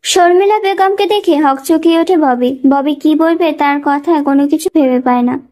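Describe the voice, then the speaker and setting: A woman's voice: high-pitched, moderate pace, a little mellow. A woman reading a scripted romantic story as a dramatic narrator.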